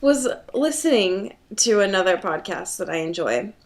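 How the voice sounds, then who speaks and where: A woman's voice: medium pitch, animated, normal speed. A woman co-hosting a podcast, chatting casually and comedically about a book with a giggly, conversational manner. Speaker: podcast co-host.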